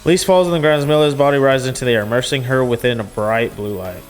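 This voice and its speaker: A man's voice: mid-range voice, fast, faint music behind. A man reading a visual novel aloud as a gaming streamer, in a storytelling style with casual asides.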